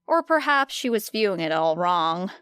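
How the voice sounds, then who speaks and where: A woman reading scripted fiction as an audiobook narrator, expressive, with deliberate emphasis on key phrases. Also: lowish voice, clean tone, no room sound, clean studio sound.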